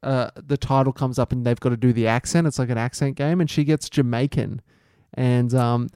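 An Australian man co-hosting a podcast, chatting and joking in a relaxed, talkative way. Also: mid-range voice, clean tone, no room sound, clean studio sound. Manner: chatty, quick to laugh, joking. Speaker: podcast co-host. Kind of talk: casual banter.

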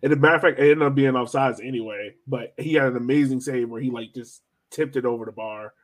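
A man's voice: mid-range voice, fast talker. An American man co-hosting a sports podcast, talking casually through analysis of a soccer match.

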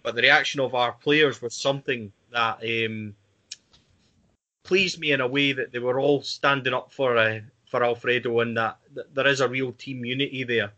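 A man runs a relaxed football podcast, calling on his guests one by one. His voice is bright with a pressed edge. The audio is a little dull and lo-fi. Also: medium pitch, moderate pace. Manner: leads the talk, hands over to guests by name. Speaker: podcast host. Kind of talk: casual football podcast chat.

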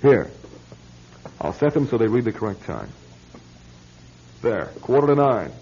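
A wry, impatient young bachelor in an old radio drama. His voice is soft-edged and husky with very lively pitch. The recording is dull and lo-fi. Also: measured pace, full character voice. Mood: exasperated, uneasy. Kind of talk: classic radio drama acting.

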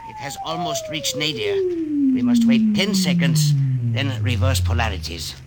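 A man's voice: very high-pitched, wildly swooping pitch, normal speed, very noisy, exaggerated voice. A man playing a smug, treacherous scientist, precise and condescending, in an old-time radio drama. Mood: urgent, precise. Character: smug treacherous male scientist, loyal to the regime. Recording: old radio broadcast with music.

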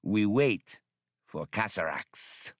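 A man's voice: lively intonation, takes it slowly, no echo, clean studio sound. A man voicing a big, battle-scarred alien swimmer bull in an audiobook, proud and boisterous, who speaks grandly of himself. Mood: serene, defiant. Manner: booming, boastful, speaks of himself in third person.

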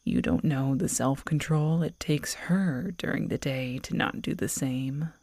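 A woman's voice: very deep voice, gravelly, a little mellow, no echo, heavily acted. A woman playing a predatory, teasing werewolf girlfriend in an intimate, half-whispered ASMR roleplay, close to the microphone. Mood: hungry, obsessed.

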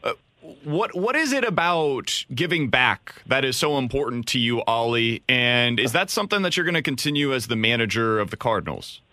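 Guy co-hosting radio, bright edgy tone, dry booth. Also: medium pitch, expressive, normal speed, no room sound. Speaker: radio co-host. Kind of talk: sports radio interview.

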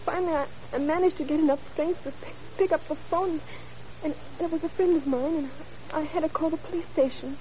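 An actress playing a shaken, frightened crime victim in an old-time radio drama. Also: female voice, high voice, fairly even intonation, a little fast, dark tone, natural voice. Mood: shaken, hesitant. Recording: hissy, dull old radio recording.

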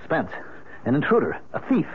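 A thin, band-limited vintage radio recording holds a loyal middle-aged police inspector. His husky voice leaps up and down as he argues. He is emphatic and incredulous, in period radio drama style. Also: a little high, wildly swooping pitch, normal speed, exaggerated voice. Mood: pained disbelief. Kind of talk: 1970s radio drama acting. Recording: muffled narrowband 1970s radio recording.

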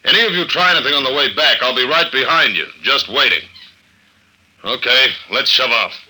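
A man's voice: mid-range voice, fairly quick, bright, forceful tone. A man playing a tough, blunt, sardonic investigator in an old-time radio drama. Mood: hard, commanding. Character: tough, hard-boiled male investigator, dry and commanding. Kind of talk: old-time radio drama acting with first-person narration. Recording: noisy old radio show recording.

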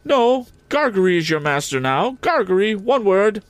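A man's voice: high voice, sing-song, moderate pace, no room sound, voice pushed up. A man voicing a cold, imperious old rich woman in a read-aloud novel, speaking in clipped commands. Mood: cold, commanding. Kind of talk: audiobook character voice.

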